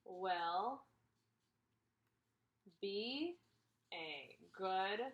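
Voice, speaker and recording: A woman in her thirties teaching and reading aloud to kindergarteners, expressive, with questions and emphatic pauses. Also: mid-range voice, lo-fi sound. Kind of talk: instructional read-aloud for young children. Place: indoors, room with a brick wall.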